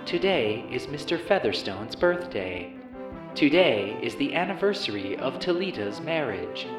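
A woman voicing an automated house's dutiful announcer, reciting reminders in a measured, official way for an audio drama. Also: very deep voice, sing-song, exaggerated voice. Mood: neutral, dutiful. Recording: roomy echo with loud music.

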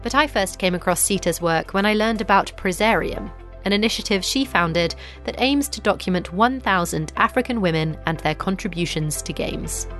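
A woman hosting a podcast, reading a scripted introduction in a clear, measured way and asking questions. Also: medium pitch, lively intonation, a bit bright. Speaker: podcast host. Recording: faint music behind the voice.

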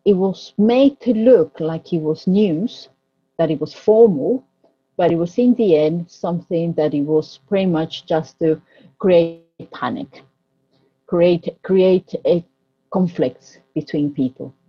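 A woman from Ecuador with a low, husky voice, speaking English as an on a panel. She gropes for words and repeats herself as she goes. The sound is dull and muffled. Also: slow pace. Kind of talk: panel talk.

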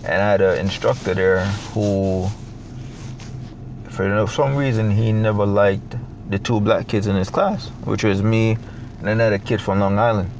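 A young adult man from Jamaica with a Jamaican accent, talking in a reflective, halting way with many fillers as a guest in a casual interview. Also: fairly low pitch, steady tone, a little hiss or hum, low-quality recording.

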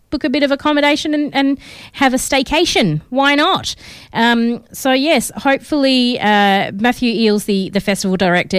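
A woman's voice: mid-range voice, clean tone, no room sound, clean studio sound. Chatty Australian woman radio host. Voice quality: slightly crackly voice. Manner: chatty, rambling, many fillers. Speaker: radio film show host. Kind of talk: casual radio chat. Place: radio studio. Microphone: studio mic.

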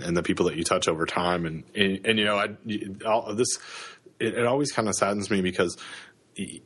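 A man with a cold talks casually as a podcast co-host, his voice low and husky. He speaks close to the microphone and keeps restarting his sentences with plenty of fillers. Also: a bit bright. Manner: halting, many fillers and restarts. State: has a cold, sniffling. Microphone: close studio microphone.

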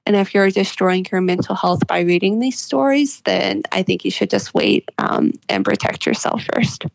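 Creaky-voiced woman, earnest interviewee. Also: medium pitch, no echo, poor audio. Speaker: guest author being interviewed. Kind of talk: reflective interview conversation.

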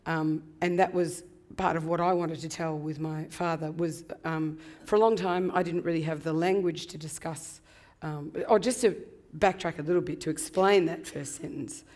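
A woman in her fifties with a husky voice from laryngitis, reflecting thoughtfully as an interview guest with many ums. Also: low-pitched, steady tone, moderate pace, a little mellow. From Australia. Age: 50s. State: hoarse from laryngitis. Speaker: guest author. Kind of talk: conversational interview.

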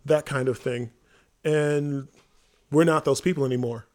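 Man in casual, animated podcast discussion. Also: medium pitch, unhurried. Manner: animated, acts out quotes in informal speech. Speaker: theater company member and podcast host.

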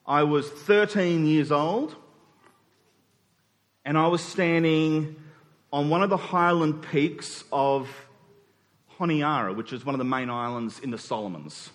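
A man in his forties with an Australian accent, preaching a sermon in a conversational, reflective storytelling way with pauses for emphasis. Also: a little high. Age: 40s. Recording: long hall reverb.

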